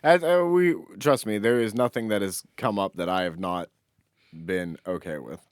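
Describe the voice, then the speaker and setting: Male podcast co-host, deep register, dry sound, loose joking chat. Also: moderate pace, clear, resonant tone, no echo. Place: small office.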